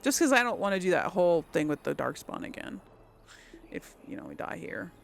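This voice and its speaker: Lively woman commentating on a video game. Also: lowish voice, fairly quick, creaky, slight background noise. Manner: exclaims and mutters reactions while playing. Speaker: let's play host. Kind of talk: casual game commentary, talking to viewers. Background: game music.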